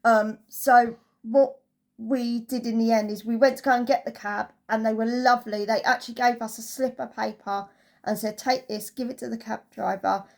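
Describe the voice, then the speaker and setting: A woman's voice: fairly high pitch, steady tone, moderate pace, gravelly, darkish tone. A woman giving a casual, chatty holiday review as a travel vlogger, telling it through anecdotes.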